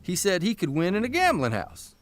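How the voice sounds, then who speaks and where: A man's voice: sits high, huge pitch swings, a little fast. An actor playing a wry, folksy newspaper columnist who tells his own stories in a plain-spoken, conversational way for an audio drama. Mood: rueful, dry. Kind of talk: first-person storytelling in audio drama.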